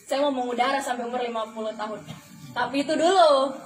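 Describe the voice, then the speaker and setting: High-voiced young woman giving an echoey testimonial. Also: fast talker, faint music behind. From the Philippines, Malaysia and Indonesia. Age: 20s. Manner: emphatic, with rhetorical questions and repetition. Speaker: flight attendant giving a testimonial. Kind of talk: motivational testimonial to an audience. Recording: echoey, noisy room.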